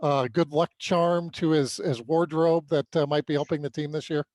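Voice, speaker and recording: A man with a somewhat high-pitched voice hosts a sports podcast. He puts questions to his guest in a casual, conversational way, recorded dry with no room sound. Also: fairly even intonation, moderate pace, darkish tone, dry recording.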